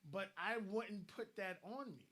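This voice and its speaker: A man's voice: high voice, lively intonation, measured pace, bright, forceful tone. A man co-hosting a casual online discussion, giving blunt advice and often repeating words as he starts a thought.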